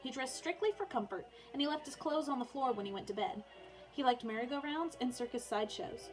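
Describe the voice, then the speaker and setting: A woman's voice: high voice, expressive, a little fast, soft background music, rough recording. A woman teacher reading a children's biography aloud for online learners, in storybook narration with informal, chatty asides.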